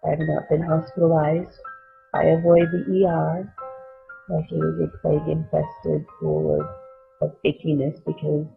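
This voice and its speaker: Very creaky woman on tinny audio. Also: deep voice. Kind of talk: personal testimony. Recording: tinny narrow sound, faint music.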